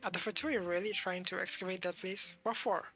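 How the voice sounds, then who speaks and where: A game streamer giving casual live commentary, thinking aloud in halting fragments over game music. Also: mid-range voice, steady tone, fairly bright tone.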